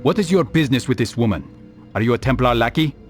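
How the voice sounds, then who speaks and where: An actor playing a calm, principled assassin in a video game, speaking in a measured, formal, eloquent way. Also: male voice, medium pitch, close to an everyday voice. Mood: businesslike, guarded. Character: calm, principled male assassin, philosophical and resolute. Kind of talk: video game cutscene voice acting. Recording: music behind the dialogue.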